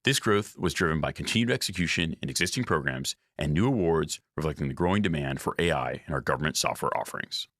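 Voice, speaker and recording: Male, very deep voice, dry close sound; formal corporate executive delivering scripted quarterly results. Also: expressive, fairly bright tone, dry recording. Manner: formal, measured reading of figures. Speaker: company executive presenting financial results. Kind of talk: scripted earnings call presentation.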